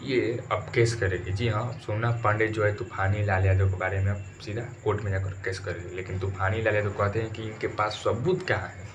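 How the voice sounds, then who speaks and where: Male online gossip news presenter, Bhojpuri accent, lowish voice, emphatic and colloquial, noticeable noise behind him. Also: fairly even intonation, brisk, hardly any pauses, highs cut off. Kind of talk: informal YouTube news commentary.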